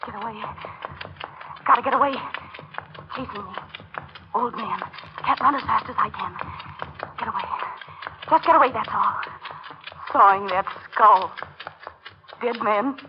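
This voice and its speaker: Frantic high-pitched captive woman. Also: lively intonation, fast talker. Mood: panicked. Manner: breathless, frantic, melodramatic. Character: terrified, defiant woman captive, frantic to escape. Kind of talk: old-time radio horror acting. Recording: dull lo-fi old radio recording.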